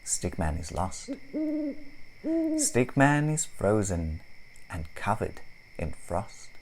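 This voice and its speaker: A woman narrating a rhyming children's storybook expressively, with deliberate, dramatic phrasing. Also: very deep voice, wildly swooping pitch, a little mellow, slight background noise. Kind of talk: expressive rhyming read-aloud for young children.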